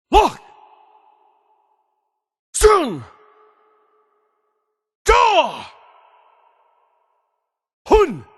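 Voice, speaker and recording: A man voicing a fierce lizard-man warrior in a video game, barking clipped magic words with gravelly force. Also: very high voice, fairly bright tone, heavily acted.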